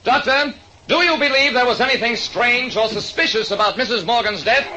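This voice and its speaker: A man's voice: extremely high pitch, brisk, very noisy, voice pushed up. A man voicing a formal, probing courtroom lawyer in a 1940s radio drama.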